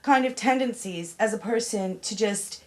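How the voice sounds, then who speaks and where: A woman speaking as an interviewee in a mockumentary, hesitant and halting, with many fillers. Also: medium pitch, natural voice. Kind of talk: mockumentary talking head.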